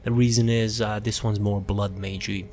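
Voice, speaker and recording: A man's voice: lowish voice, level tone, normal speed, darkish tone, a little hiss or hum. A man hosting a gaming video, talking casually through a character build in a rambling, self-interrupting way and often trailing off. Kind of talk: casual game build walkthrough commentary.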